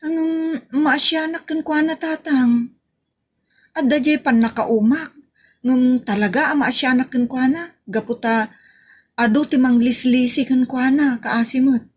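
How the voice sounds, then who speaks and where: A woman acting a plaintive, talkative Filipino woman in a comedy audio drama, with an Ilocano accent and drawn-out way of explaining. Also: high-pitched, a little hiss or hum. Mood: sympathetic, explaining.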